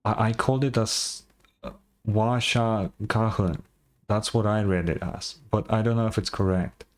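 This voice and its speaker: A man's voice: fairly low pitch, fairly even intonation, normal speed. A man giving casual, informal gameplay commentary, occasionally swearing. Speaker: gaming streamer reading game dialogue aloud. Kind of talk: casual gameplay commentary with dialogue read aloud.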